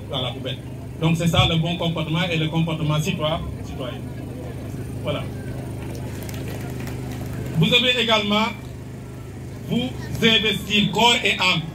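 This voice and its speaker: High-voiced man lecturing students, distant. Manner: didactic, repeats key points, asks rhetorical questions. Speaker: school official addressing students. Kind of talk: speech to students at a school event. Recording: distant mic with loud background noise.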